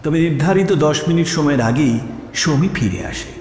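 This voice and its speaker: Echoey male suspense narrator, fairly high voice. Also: lively intonation, a little fast, slight background noise. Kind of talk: dramatic suspense story narration. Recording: echoey, long reverb. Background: soft music.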